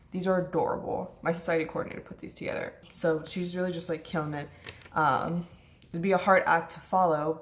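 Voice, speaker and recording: A young woman, a law student vlogging casually, chatty and upbeat with lots of fillers, her voice slightly hoarse. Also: lowish voice, reverberant. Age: 20s. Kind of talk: casual vlog chat. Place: home kitchen.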